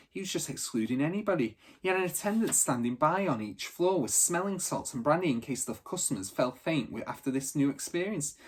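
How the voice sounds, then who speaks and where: Husky man reading a children's story. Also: on the high side. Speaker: teacher reading a story aloud. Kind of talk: expressive children's storybook narration.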